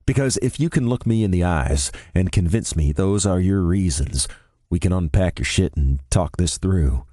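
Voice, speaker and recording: A man voicing a smooth-talking Texan man, sad and resigned, with a low drawl. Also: lively intonation, moderate pace, warm tone, no echo, clean studio sound. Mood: defeated. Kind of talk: audiobook character voice.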